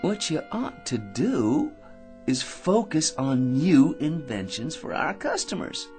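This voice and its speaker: A man's voice: a little high, big pitch swings, takes it slowly, darkish tone, faint music behind. An actor playing an eager, self-important American office bore who rambles and laughs at his own remarks in a radio drama. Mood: eager, overexcited.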